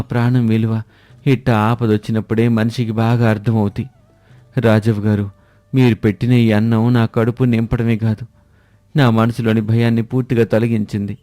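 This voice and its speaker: A man voicing a humbled, amazed and grateful village man in an animated moral story, respectful and emotive. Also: on the low side, lightly acted.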